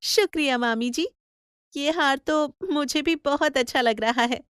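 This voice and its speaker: A woman acts out a kind young village wife from a cartoon fable. Her high voice is excitable, full of gushing exclamations and pleas, in a dry close recording. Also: measured pace, no room sound. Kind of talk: Hindi cartoon story acting.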